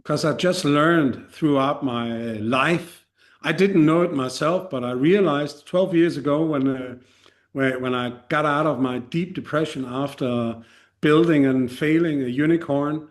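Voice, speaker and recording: A man's voice: a little high, unhurried. Danish-accented man, frank guest. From Denmark. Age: 40s. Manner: frank and self-deprecating, many fillers and restarts. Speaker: podcast guest. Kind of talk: casual interview chat.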